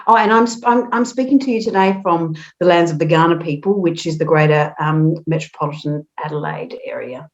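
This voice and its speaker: A woman's voice: sits low, fairly quick, hardly any pauses. A woman, a nurse educator, speaking earnestly and passionately on a video call. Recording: dull video call audio.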